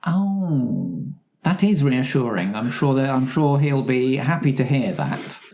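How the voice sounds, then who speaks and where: A player voicing an adventuring painter in a tabletop game, polite, flattering and pleased with their own art, talking in a wordy, musing way. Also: mid-range voice, slow pace, gravelly. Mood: polite, curious.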